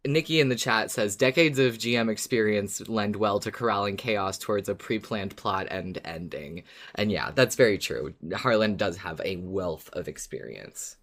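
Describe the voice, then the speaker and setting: Casual podcast co-host with mid-low voice. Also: animated, moderate pace, hardly any pauses. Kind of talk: casual fan discussion.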